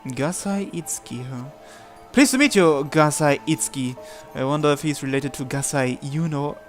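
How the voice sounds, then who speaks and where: Higher-voiced man reading a visual novel aloud. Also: moderate pace, faint music behind. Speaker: gamer hosting a visual novel playthrough. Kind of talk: reading game text aloud with casual commentary.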